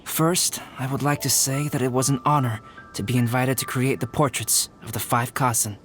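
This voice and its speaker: An actor playing a composed, logical young artist in an anime-style video game, speaking in a measured, courteous and articulate way. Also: male voice, mid-range voice, steady tone, a bit bright, soft background music, lightly acted. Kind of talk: anime-style game acting, formal speech.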